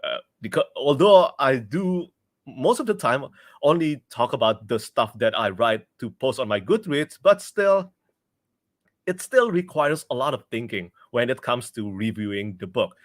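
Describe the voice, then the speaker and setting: A man's voice: mid-range voice, lively intonation, unhurried, no room sound. Chatty Indonesian man, close dry sound. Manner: chatty, laughs often, restarts mid-sentence. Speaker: book reviewer hosting a livestream. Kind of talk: casual livestream Q&A.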